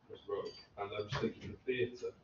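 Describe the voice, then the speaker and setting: A man's voice: on the low side, flat delivery, fast. A man in a seminar audience casually asking a question and commenting. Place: university seminar room, indoors. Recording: distant, echoey, dull, noisy room.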